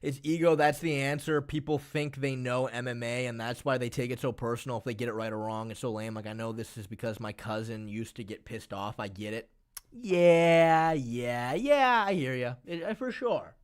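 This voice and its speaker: A man's voice: mid-range voice, normal speed, clean tone. A man hosting a live stream, chatting casually and rambling to his viewers. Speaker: streamer host.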